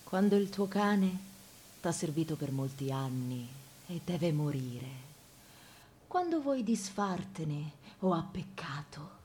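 A woman's voice: fairly low pitch, dark tone, a little hiss or hum, lightly acted. A woman playing a grave, severe spinster, reciting solemnly and measuredly in literary radio theatre, at times near a whisper. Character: grave, severe spinster resolved on a mercy killing.

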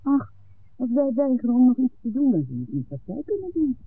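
An actor playing a frank young villager from a smuggling family, talking informally in a 1960s radio play. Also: male voice, extremely high pitch, big pitch swings, brisk, husky, full character voice. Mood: matter-of-fact. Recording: tinny old radio recording with noise.

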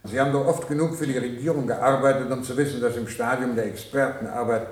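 An actor playing an authoritative, smoothly evasive project director in a 1970s radio drama, speaking in formal, measured lecturing phrases. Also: male voice, mid-range voice, fairly even intonation, close to an everyday voice. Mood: firm, justifying. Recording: roomy echo of 1970s radio play.

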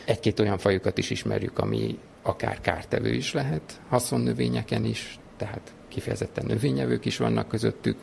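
A man's voice: lowish voice, lively intonation, vocal fry, few pauses, a little mellow. A man speaking as a researcher, explaining things in an interview in a laboratory, with faint noise behind him. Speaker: soil animal researcher. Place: laboratory at a microscope.

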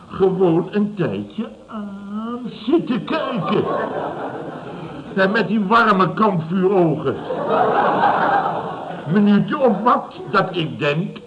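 An actor playing a scornful, blustering fifty-year-old building contractor boss in an old radio comedy, mocking and repeating himself. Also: male voice, sits high, full character voice. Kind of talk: Dutch radio comedy acting around 1970. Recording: dull, noisy old radio recording.

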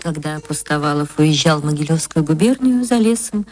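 A woman actress narrating a literary story for radio in a measured, theatrical storytelling manner. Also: sits low, animated, music in the background.

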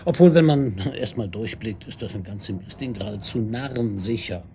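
Schauspieler als sarkastischer Hauptkommissar mit Hintergrundgeräuschen. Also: male voice, on the low side, normal speed, dark tone, slight background noise, lightly acted. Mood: needling. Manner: sardonic, colloquial, insinuating questioning. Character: wry, probing, needling male chief police detective. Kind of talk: German radio drama acting.

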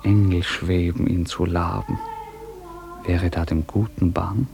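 Slow, gravelly male radio drama reader. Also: sits low, flat delivery, warm tone. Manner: solemn, poetic, measured delivery. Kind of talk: literary radio narration with recited verse.

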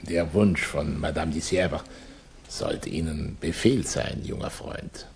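An actor playing a paternal, self-important revolutionary leader in a 1960s German radio play, unctuous and commanding. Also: male voice, extremely low pitch, slow pace, creaky, darkish tone, a little hiss or hum, full character voice. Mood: patronising.

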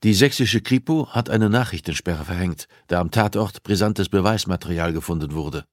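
A man voicing a cold, commanding criminal profiler in an audiobook, arguing with deliberate insistence. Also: low-pitched, no room sound, clean studio sound, natural voice. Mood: cool, insistent. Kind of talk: audiobook dialogue acting.

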